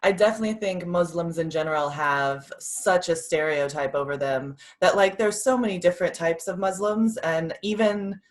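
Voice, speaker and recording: A woman's voice: on the low side, normal speed, few pauses. A woman with an American accent hosting an interview in an informal, chatty way. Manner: informal, peppers speech with "like". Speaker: podcast host, interviewer. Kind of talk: casual chat.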